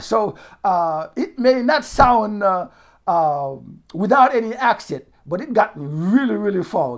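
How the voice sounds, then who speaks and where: A middle-aged Haitian man with a Haitian Creole accent, preaching a sermon as a pastor, moving from calm teaching into emphatic raised bursts, with frequent fillers. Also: extremely high pitch.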